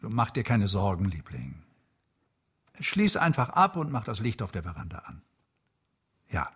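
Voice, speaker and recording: An actor playing a sober, weary murder investigator in a radio drama, speaking in a measured, reasoning way. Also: male voice, on the low side, huge pitch swings, a bit bright, full character voice.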